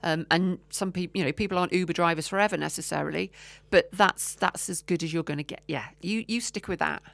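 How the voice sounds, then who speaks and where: A woman's voice: on the low side, brisk, gravelly, no room sound. A woman co-hosting a podcast in casual chat, often trailing off with ums and restarts. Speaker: podcast co-host.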